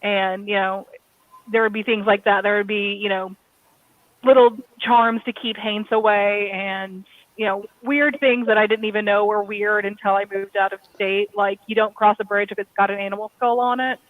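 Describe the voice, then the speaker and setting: An American woman talks casually as a guest author on a panel, explaining in a chatty way. Her pitch is average. The sound is thin and band-limited, like a call, and very dry. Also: steady tone, normal speed, no room sound, narrow, tinny audio. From Kentucky, USA.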